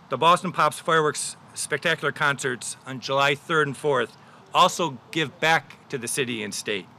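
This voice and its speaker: A man's voice: mid-range voice, bright, forceful tone, slight background noise. A man giving formal prepared remarks at an outdoor press conference, repeating words for emphasis. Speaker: conductor. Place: outdoors at a city event.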